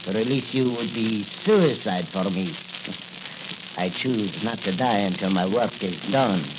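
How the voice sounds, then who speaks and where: A man's voice: on the low side, measured pace, close to an everyday voice. A male actor as a cold villainous captain of 1940s radio drama. He gives orders in stilted, formal phrases. The old recording is muffled and full of noise. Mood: menacing. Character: cold foreign saboteur captain, imperious villain.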